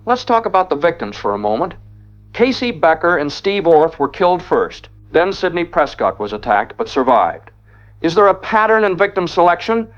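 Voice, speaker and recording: Man as radio interviewer, fairly high voice, probing steady questions, dull lo-fi audio, faint music. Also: normal speed. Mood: steady, inquiring. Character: composed male radio host questioning an expert.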